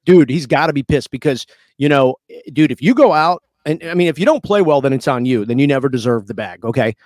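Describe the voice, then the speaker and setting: A man's voice: mid-range voice, lively intonation, fairly quick, a little mellow, no room sound, studio quality. An American man co-hosting a sports podcast in casual banter, blunt, slangy and opinionated.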